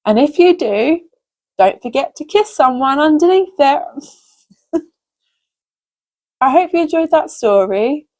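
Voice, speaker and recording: Woman, children's storyteller, fairly high voice, animated, unhurried, expressive. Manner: expressive, simple direct address to children. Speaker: host reading children's stories.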